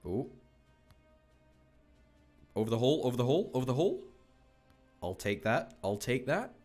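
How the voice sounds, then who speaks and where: A man's voice: mid-range voice, very animated, moderate pace, darkish tone, faint music behind. A man hosting a gaming video, chatting casually with wry, self-deprecating remarks. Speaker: gaming channel host. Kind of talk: casual let's-play commentary.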